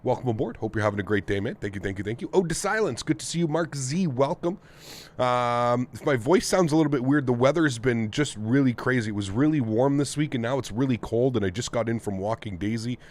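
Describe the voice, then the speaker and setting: A man's voice: mid-range voice, expressive, fairly quick, darkish tone. A man from North America, sounding nasal and congested, hosting a casual live stream and greeting viewers one by one in an upbeat, friendly way. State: congested throat from the weather. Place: home flight sim setup.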